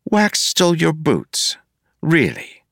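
A man's voice: fairly low pitch, animated, normal speed, a bit bright, no room sound, clean studio sound, pitched above the performer's own voice. A man voicing a level-headed young woman constable who teases dryly. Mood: dry teasing.

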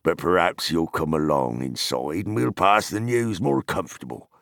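A gruff, hearty hobbit farmer from a fantasy audiobook, acted out by a male narrator. He talks bluntly and rustically with a gravelly edge and big swings in pitch, wary of strangers yet hospitable, recorded close and dry. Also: lively intonation, moderate pace, fairly bright tone, no room sound, full character voice. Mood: grumbling, confiding. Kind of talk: dramatized fantasy audiobook character.

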